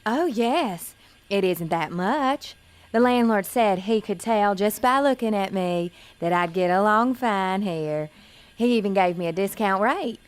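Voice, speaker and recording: A woman's voice: medium pitch, lively intonation, a little slow, smooth, natural voice. A woman playing an innocent, insecure young pageant contestant with a Southern US accent, sweet and earnest, in an audio comedy. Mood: earnest, naive. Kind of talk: audio comedy drama acting.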